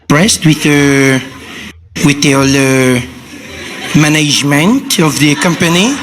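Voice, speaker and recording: A man's voice: on the high side, slow, fairly bright tone, a little hiss or hum, voice pushed down. A comedian voicing a man with a French accent who fumbles his words, hesitant and drawn out. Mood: faltering. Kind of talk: stand-up comedy bit.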